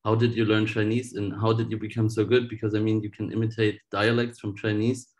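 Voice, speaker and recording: A man asking a polite, measured question during an online talk. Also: lowish voice, level tone, a little mellow. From Germany. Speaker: audience member asking a question.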